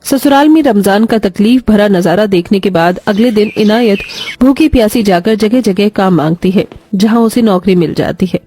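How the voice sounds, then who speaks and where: A woman's voice: medium pitch, moderate pace, few pauses, mellow. A woman narrating a scripted moral story as a storyteller. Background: faint music.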